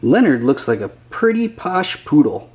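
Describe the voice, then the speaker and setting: A man's voice: fairly high pitch, very animated, a little slow, darkish tone. A man reading a children's picture book aloud as a scripted narrator.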